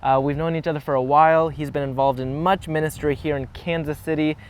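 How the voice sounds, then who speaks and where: A man's voice: on the high side, fairly even intonation, brisk, clean tone. A man hosting an interview in a friendly, informal presenting style. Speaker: interviewer, segment host.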